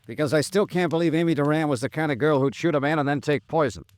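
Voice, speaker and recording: A man's voice: a little high, fast talker, slight background noise, close to an everyday voice. An American man acting a hard-boiled, stubborn insurance investigator and narrator in an old-time radio detective drama, clipped and wry. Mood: grim, resigned. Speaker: lead actor and narrator. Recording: vintage radio recording with music.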